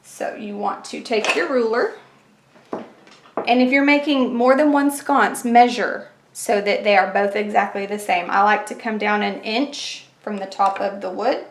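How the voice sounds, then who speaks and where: A woman walks through a craft project step by step in a chatty, practical way, her voice worn by a cold. She talks at an ordinary middle pitch. The room is echoey, with faint clatter in the background. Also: a little slow, a little hiss or hum. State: fighting a cold, losing her voice. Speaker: craft channel host. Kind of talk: craft tutorial.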